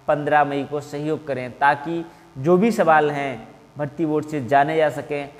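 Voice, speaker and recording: A man in his thirties with a fairly high voice speaks as a coaching teacher addressing exam candidates. His delivery is formal and measured. The room adds noticeable reverb to his voice. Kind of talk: earnest appeal to camera for exam candidates. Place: small indoor classroom in front of whiteboard. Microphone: clip-on lapel mic. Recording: reverberant, hard-walled room.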